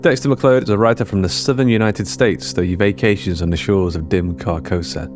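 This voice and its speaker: A man's voice: low-pitched, fairly quick, faint music behind. A man reading a scripted podcast outro and credits as host.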